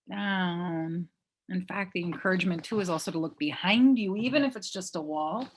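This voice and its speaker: A woman teaching mindfulness, leading a guided meditation in a gentle, unhurried manner with long pauses. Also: on the low side.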